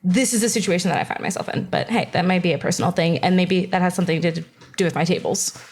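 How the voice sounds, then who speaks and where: A woman with a fairly low voice talks in a casual panel discussion. She is conversational and leans on repeated phrases to drive her points home. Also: fast, slightly rough. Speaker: podcast panelist.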